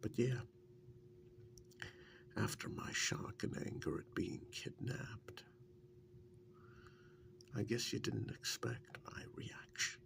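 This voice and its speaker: A man playing a wry, affectionate partner reminiscing in a soft-spoken, intimate ASMR role-play, halting and musing, breathy and often slipping into a whisper. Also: mid-range voice, lively intonation, heavily acted. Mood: wry, reflective.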